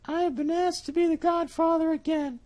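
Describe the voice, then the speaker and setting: A woman's voice: extremely high pitch, fairly even intonation, moderate pace, a little mellow, voice pushed up. A woman voicing a sly, greedy male cat who talks his way around a trusting mouse. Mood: wheedling.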